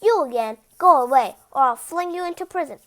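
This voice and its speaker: Woman voicing a bossy, pompous storybook king, high and animated. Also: a little fast. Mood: angry. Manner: imperious, demanding. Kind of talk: children's picture book read-aloud.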